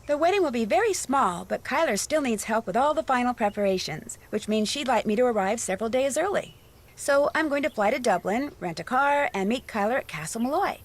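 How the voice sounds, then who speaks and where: A woman playing an earnest, eager young amateur detective in a conversational, friendly scripted game narration. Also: on the high side.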